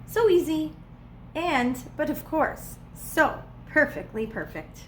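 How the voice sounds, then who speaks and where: A woman reading a children's storybook aloud as a preschool teacher would, with expressive, playful emphasis. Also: high voice, takes it slowly, a little hiss or hum. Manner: dramatic, playful emphasis on repeated words.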